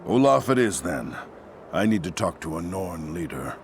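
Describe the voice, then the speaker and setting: An actor playing a blunt, impatient dwarf in a fantasy video game, with a gravelly voice. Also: male voice, sits low, very animated, moderate pace, a little hiss or hum. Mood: businesslike. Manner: blunt, pressing.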